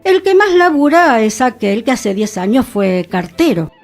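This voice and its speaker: A woman with a Buenos Aires accent giving an expressive literary reading, theatrical and wry, with dramatic pauses. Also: a little high, soft background music. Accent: Argentine, Buenos Aires. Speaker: reader.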